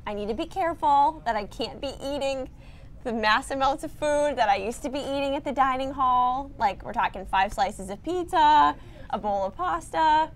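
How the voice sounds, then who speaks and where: Young woman, American, news co-host, casual banter, high-ish voice. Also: moderate pace, a little hiss or hum. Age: 20s.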